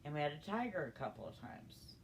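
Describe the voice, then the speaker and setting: A woman's voice: deep voice, very animated, a little fast. Older woman storyteller, noisy recording. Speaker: storytelling guest. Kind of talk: casual storytelling.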